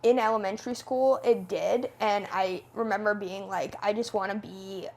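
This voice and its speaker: A woman in her twenties talks freely as a podcast guest. Her voice sits at a mid pitch. She is upbeat and chatty, with plenty of "like" and quick restarts. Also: fairly even intonation. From Canada. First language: Italian. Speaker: podcast guest, food reviewer. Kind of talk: casual podcast chat.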